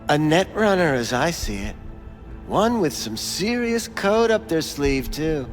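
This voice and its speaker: An actor voicing a smug, evasive back-alley implant doctor in a video game, with florid, theatrical phrasing. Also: male voice, fairly high pitch, sing-song, unhurried, in a large hall, faint music behind. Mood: sly, evasive. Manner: florid, theatrical wording, stammers when pressed.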